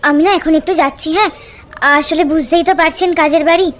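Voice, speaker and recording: An actress playing a dutiful, courteous village housewife in a horror cartoon, polite and obliging. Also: female voice, extremely high pitch, steady tone, brisk, fairly bright tone, a little hiss or hum. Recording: music behind the voice. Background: clear background music.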